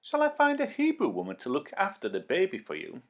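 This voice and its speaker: A man voicing an eager, excitable young girl with an urgent manner in a children's Bible story. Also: high-pitched, brisk, pitched above the performer's own voice, full character voice.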